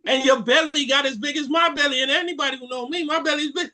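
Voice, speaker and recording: Jamaican male podcast co-host, higher-pitched voice, playful storyteller who acts out what people said. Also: fast. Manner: animated storyteller, joking, acts out quotes. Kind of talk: casual chat.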